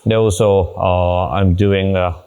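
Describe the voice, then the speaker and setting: A man with a Japanese accent, a monk on a panel, explaining things in simple, halting English. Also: deep voice, steady tone, warm tone, clear, resonant tone. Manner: halting, simple non-native English phrasing. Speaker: panel guest, Buddhist monk. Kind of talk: conversational explanation in a panel talk.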